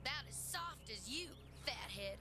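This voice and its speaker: An actress playing a tough, sassy young superheroine in an animated series, with a brash drawl. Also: female voice, high voice, normal speed. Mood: scolding. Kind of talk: 1990s animated superhero cartoon acting. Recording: loud music behind cartoon dialogue.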